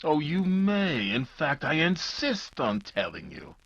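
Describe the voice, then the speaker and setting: An actor playing a vengeful old criminal doctor, ominous and grandiose, in a 1940s radio mystery style. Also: male voice, fairly high pitch, wildly swooping pitch, slow pace, a bit bright, muffled recording, heavily acted. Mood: gloating threat. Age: 70s character.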